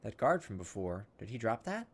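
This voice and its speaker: A man's voice: fairly low pitch, sing-song, normal speed. A man doing gameplay commentary, casually thinking aloud and reading visual novel text as he goes. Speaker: video game playthrough host.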